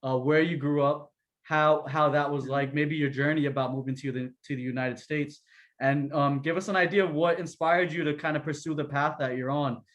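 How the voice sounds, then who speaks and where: A young man moderates an online alumni panel, talking his guests up in a loose, friendly way full of asides. His voice sits a little high for a man. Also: fairly even intonation, normal speed, fairly bright tone. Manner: chatty, informal, joking asides. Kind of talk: casual panel introductions.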